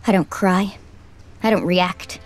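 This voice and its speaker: A woman's voice: lowish voice, fairly even intonation, slow pace, faint music behind. A woman voicing a withdrawn, resigned young ninja girl in an anime game dub, speaking in clipped, guarded phrases. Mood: cold, resigned.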